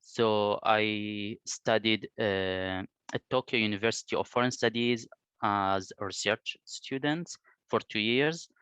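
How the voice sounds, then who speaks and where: A man from Iraq in his thirties, a native Arabic speaker, answering interview questions slowly with many hesitations. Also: fairly low pitch, fairly bright tone, clear, resonant tone, dry recording. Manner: slow, hesitant, many fillers. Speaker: researcher, interview guest.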